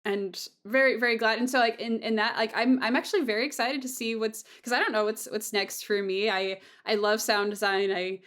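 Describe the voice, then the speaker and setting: A woman's voice: a little high, fairly even intonation, brisk, hardly any pauses, a bit bright. A woman hosting a casual, heartfelt podcast conversation, effusive and grateful in manner. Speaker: podcast host and audio drama creator.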